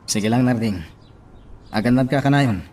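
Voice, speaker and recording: A man's voice: medium pitch, normal speed, a little hiss or hum, lightly acted. A man with an Ilocano accent playing a loyal, concerned friend in a radio drama. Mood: supportive. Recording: slightly distant, loud music underneath.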